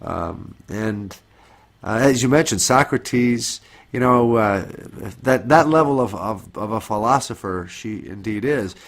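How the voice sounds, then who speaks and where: A man's voice: lowish voice, animated, a little slow. A man hosting a podcast interview, speaking with a cold and a slightly nasal voice in a friendly, joking way. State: has a cold. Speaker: podcast host. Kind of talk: interviewing a guest.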